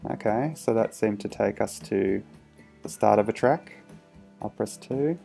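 A man's voice: on the low side, a little slow, vocal fry, dark tone, soft background music. A man casually narrating a hands-on electronics demo in a hesitant, understated way, thinking aloud. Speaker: electronics hobbyist presenter.

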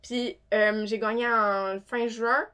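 A woman in her twenties with an Acadian French accent, chatting as an interview guest in a small studio, talkative and quick to laugh. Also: a little high, steady tone, fairly bright tone, smooth. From New Brunswick, Canada. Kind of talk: casual interview chat. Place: small studio with wood-panel backdrop. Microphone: studio mic on table.

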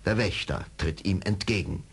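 A man narrating a radio play as a dramatic storyteller, with a husky voice. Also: deep voice, moderate pace.

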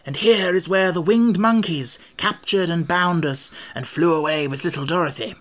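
A woman voicing a cheerful, pompous male scarecrow king in a storybook, with a bright and grandly reassuring manner. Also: on the low side, moderate pace, close to an everyday voice. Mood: reminiscing. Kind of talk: audiobook character voice.

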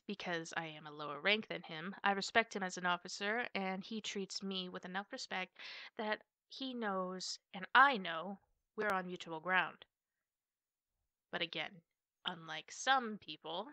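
A woman's voice: on the low side, gravelly, sharp tone, no room sound, exaggerated voice. Woman acting a tough, bossy wolf-girl knight officer. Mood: proud, blunt. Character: stern part-wolf female army knight officer. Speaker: training officer. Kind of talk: scripted roleplay audio drama.

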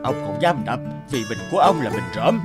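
An actor playing an argumentative man haggling in an animated folk tale, speaking emphatically and indignantly. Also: mid-range voice, fairly quick, close to an everyday voice. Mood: indignant, challenging. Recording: loud plucked-string music under the voice.

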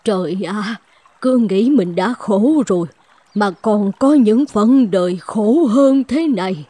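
Slow, kindly old village woman. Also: mid-range voice, expressive, warm tone, slightly rough, no echo. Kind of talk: cartoon fairy-tale dubbing. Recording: faint music behind the voice.

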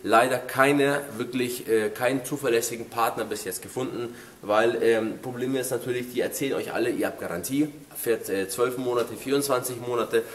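The echo of an indoor workshop surrounds this man's voice, which sits at an average pitch and sounds a little stuffed up from a cold. He explains things like a mechanic talking straight to a customer. His wording is colloquial, direct and matter-of-fact. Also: moderate pace. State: slightly congested with a cold. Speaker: car workshop mechanic presenting. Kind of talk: informal explanatory talk to camera. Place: car repair workshop, indoors. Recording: echoey workshop room.

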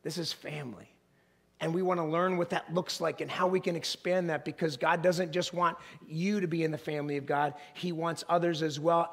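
A middle-aged man preaching with a naturally gravelly voice, roughened further by hoarseness. He talks in a slightly high register, pacing the stage, and builds to emphatic, animated peaks. He sounds close on a body mic in front of a large congregation. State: hoarse, voice strained. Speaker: pastor. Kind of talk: sermon. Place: large church stage with an audience.

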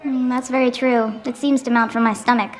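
A woman's voice: fairly high pitch, level tone, fairly quick, some chatter behind, close to an everyday voice, rough recording. A woman acting in a radio play as a meek, muddled drinker, a man who speaks hesitantly and defensively. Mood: vague.

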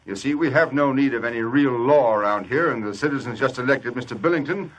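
A man's voice: medium pitch, fast, close to an everyday voice. An actor playing a haughty, suspicious townsman in an old radio western, speaking formal, condescending with an edge of menace. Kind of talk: 1940s radio western acting.

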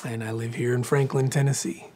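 Guy in his 30s from southern oregon, earnest, thinking out loud, lots of ums. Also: medium pitch, level tone, a little fast. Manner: earnest, reflective, with frequent fillers and restarts. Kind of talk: personal interview storytelling.

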